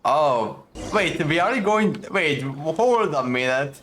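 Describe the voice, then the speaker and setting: A man's voice: on the high side, animated, takes it slowly, a bit bright, a little hiss or hum. A young man giving casual, informal game commentary in a chatty, easily baffled way. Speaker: gaming streamer. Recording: game music behind the voice.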